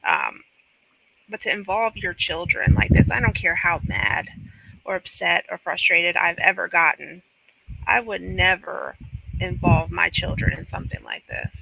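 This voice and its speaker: A woman's voice: fairly low pitch, expressive, unhurried, gravelly, bright, forceful tone, husky, no room sound, low-quality recording. A woman giving unscripted live commentary in an incredulous, emphatic manner.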